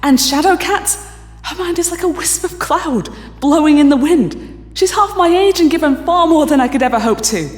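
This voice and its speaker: A weary telepath in deep echo. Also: female voice, animated, normal speed. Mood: weary, downcast. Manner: reserved, careful, polite. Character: weary, polite, self-doubting female telepath. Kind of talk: audio drama acting. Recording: echoey hall reverb, music underneath.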